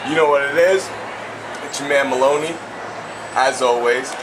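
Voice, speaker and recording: A man's voice: mid-range voice, moderate pace. A man hosting a radio interview show, chatty and hyping up his guest. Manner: chatty, hype show intros. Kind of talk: casual interview chat. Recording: noisy background.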